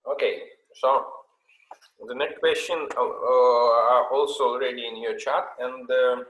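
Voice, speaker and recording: Moderator reading questions in a roomy sound. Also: male voice, mid-range voice, steady tone, measured pace, a bit bright. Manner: reads questions aloud, mixing English and Ukrainian.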